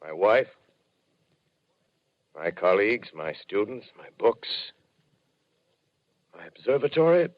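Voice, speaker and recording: An actor playing a brooding, lonely professor who has survived catastrophe, delivering a solemn, measured monologue in a 1930s radio drama. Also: male voice, lowish voice, sing-song. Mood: haunted, bleak. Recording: muffled 1930s radio broadcast recording.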